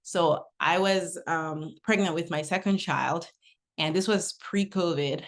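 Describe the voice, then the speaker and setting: Middle-aged woman from Nigeria, low-ish voice, conversational webinar presenter. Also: measured pace. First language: English. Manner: conversational, uses personal anecdotes. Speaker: webinar speaker.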